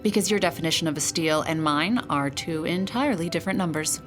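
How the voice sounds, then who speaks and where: Low-voiced, dry young noblewoman, played by an actress. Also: fast talker, close to an everyday voice. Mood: wry, assured. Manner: dry, wry, challenging. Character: dry, blunt young aristocratic lady heir. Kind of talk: audio drama acting. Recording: music behind the voice.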